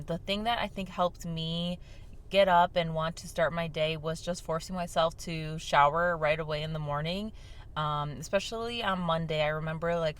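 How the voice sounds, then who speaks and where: Soft-spoken young woman, a bit hoarse. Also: low-pitched. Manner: talking softly on purpose, filler-heavy and rambling. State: slightly hoarse from shouting the day before. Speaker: vlog host. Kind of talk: casual chat while sipping a drink. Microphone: mic without windscreen.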